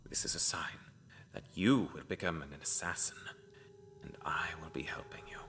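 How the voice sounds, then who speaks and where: A man playing a grave, devout cult seer who speaks in a solemn, cryptic, often hushed way in a dark fantasy audio drama. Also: deep voice, moderate pace, in a whisper. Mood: hushed, prophetic. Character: grave, devout male cult seer, ominous mentor to an assassin.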